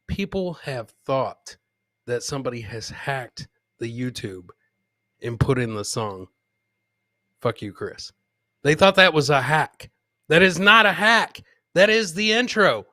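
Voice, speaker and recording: A man's voice: mid-range voice, pushed and bright, no room sound, clean studio sound. A man hosting a casual comedy livestream, talking in a hyped, slangy way and repeating phrases for emphasis while getting over a cold. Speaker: podcast host.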